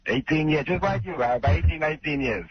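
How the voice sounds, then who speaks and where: A middle-aged man calling a radio show, sounding gruff, angry and sardonic in casual talk. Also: a little high, fairly quick. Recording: muffled radio call with crowd noise.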